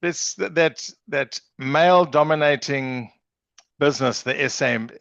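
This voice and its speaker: A man's voice: a little high, slow, creaky, fairly bright tone, no room sound. A man hosting a casual interview podcast, effusive and often restarting his questions. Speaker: podcast host. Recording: dull lo-fi recording.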